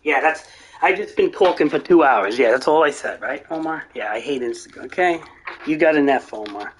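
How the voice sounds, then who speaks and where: A young man streaming a game, rambling and ranting, swearing now and then, his voice going hoarse. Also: on the high side, expressive, brisk. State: voice getting hoarse. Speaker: gaming streamer. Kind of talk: rambling live-stream chat.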